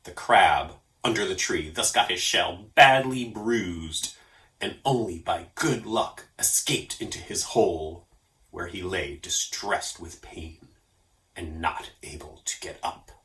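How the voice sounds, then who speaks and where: A man reading a story aloud as an expressive narrator. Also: low voice, slow, low-quality recording. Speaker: storyteller for a library story program.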